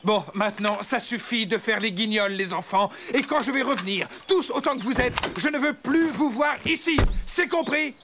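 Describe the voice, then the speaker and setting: A man's voice: extremely high pitch, moderate pace, hardly any pauses, very noisy. A man voicing a put-upon, exasperated workman dad in a French cartoon, flustered and a little weary. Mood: stern. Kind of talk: French animated cartoon acting. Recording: loud music behind the voice.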